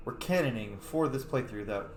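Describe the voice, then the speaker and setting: A young man casually commenting on a video game as he plays, muttering to himself with excited bursts. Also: mid-range voice, normal speed, a little hiss or hum. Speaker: gamer. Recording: echoey room.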